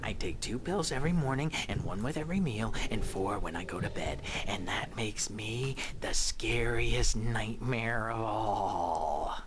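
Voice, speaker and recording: A man's voice: lowish voice, lively intonation, a little slow, fairly bright tone, slight background noise. An actor playing a manic, paranoid and menacing male clinic patient, rambling theatrically between whispers and outbursts in a game.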